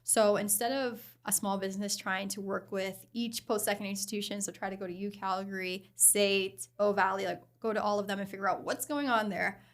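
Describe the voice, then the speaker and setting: A middle-aged woman from the Bahamas, answering interview questions in a friendly, measured way and laughing easily. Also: mid-range voice, steady tone. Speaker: guest, program director.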